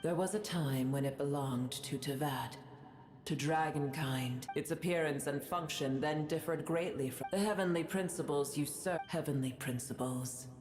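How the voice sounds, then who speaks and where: Woman as ancient dragon sovereign, very low female voice, proud, archaic diction, cavernous echo, video game. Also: normal speed, music in the background, natural voice. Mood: aloof, disdainful. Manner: haughty, archaic, stately formal speech.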